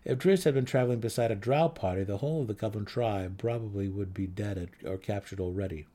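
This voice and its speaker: Man, lowish pitch, dramatic scripted narration, fantasy audiobook. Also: fast talker, mellow.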